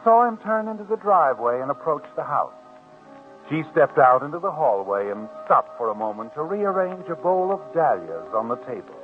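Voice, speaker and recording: Ominous storyteller, highly expressive. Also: male voice, moderate pace, faint music behind. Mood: knowing. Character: ominous, knowing storyteller of mystery tales. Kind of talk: 1940s radio drama narration. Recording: tinny old radio recording.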